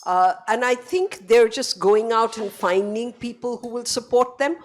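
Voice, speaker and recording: Measured woman panelist. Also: a little high. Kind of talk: answering on a panel.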